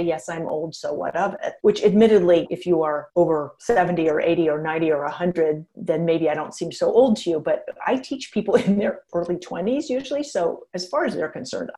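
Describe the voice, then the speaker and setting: A woman in her fifties, a geriatrician and author, answering interview questions frankly and with energy, laughing now and then as she talks. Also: on the low side. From United States. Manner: frank, animated, laughs while talking.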